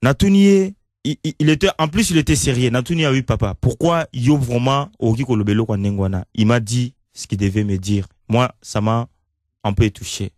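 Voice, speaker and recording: A man's voice: medium pitch, moderate pace, darkish tone, no room sound, clean studio sound. A man with a Congolese accent hosting a conversational radio show, often repeating and rephrasing his phrases. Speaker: radio host. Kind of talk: conversational radio talk, code-switching.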